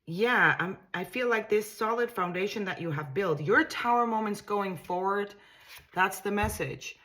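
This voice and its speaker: A woman's voice: medium pitch, moderate pace, sharp tone. A woman with a Danish accent, a tarot reader giving a casual reading to camera, musing aloud and often repeating and restarting her words in a reassuring way.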